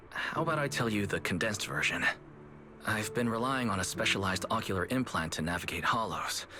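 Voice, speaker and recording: A man playing a kind, composed young manager in a game cutscene, speaking in a gentle, measured way. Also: low voice, noisy background. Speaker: video game voice actor. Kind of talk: animated game cutscene dialogue. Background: background music.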